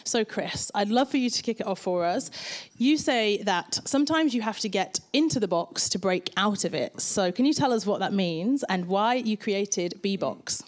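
A woman who hosts a live podcast panel. She introduces the guests warmly and at length and uses many fillers such as like and you know. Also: mid-range voice, expressive, normal speed. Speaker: podcast host. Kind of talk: hosting a live panel recording. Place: indoor event space before a live audience.

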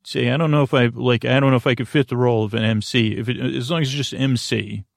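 A man hosting a sleep podcast in a rambling, improvised bedtime monologue, halting and meandering as he trails off mid-thought. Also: medium pitch, fairly even intonation, no room sound, clean studio sound. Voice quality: creaky.

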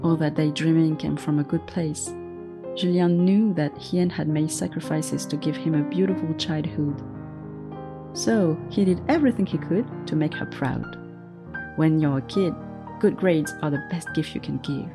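A woman narrating a story in a gentle, measured, scripted way. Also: sits low, creaky. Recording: faint music underneath.

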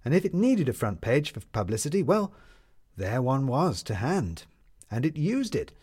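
A man tells a written story as its narrator. His voice is medium in pitch. The phrasing is lyrical and measured, in a storyteller's manner. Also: big pitch swings, a little mellow, breathy edge.

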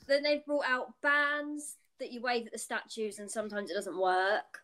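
A woman's voice: on the high side, little pitch movement, moderate pace, sharp tone. A British woman in her twenties, co-hosting a casual podcast chat, rambling and chatty with lots of fillers. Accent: British English. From United Kingdom. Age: 20s. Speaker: podcast co-host.

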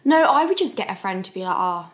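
A woman's voice: mid-range voice, sing-song, fast talker. A woman on a podcast chatting breezily and giving casual advice. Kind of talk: casual chat. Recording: background music.

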